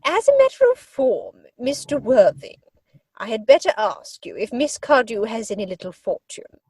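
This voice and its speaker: An imperious older aristocratic aunt in a comic play reading. Her pitch swings widely through pompous, deliberate phrases. The voice is husky, heard dry and close. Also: sing-song, a little mellow, no echo. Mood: haughty, appraising. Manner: pompous, drawn-out, deliberate delivery.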